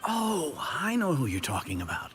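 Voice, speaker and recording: A man's voice: on the high side, huge pitch swings, moderate pace, noisy background. A man playing a frank, chatty villager in a video game. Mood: sure, surprised.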